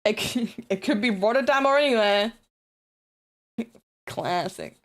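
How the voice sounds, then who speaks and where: A woman's voice: medium pitch, animated, a little slow. A woman with a New Zealand accent, chatting casually and rambling on a live stream while she builds models, self-deprecating and swearing now and then.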